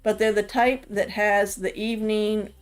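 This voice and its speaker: A woman's voice: mid-range voice, fairly even intonation, a little slow, clear, resonant tone, faint music behind. A middle-aged woman from the Washington, D.C. area, talking casually about raising kids and rambling with lots of "you know"s. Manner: rambling, thinks aloud with many "you know"s. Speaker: mother of the streamer. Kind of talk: casual chat about parenting.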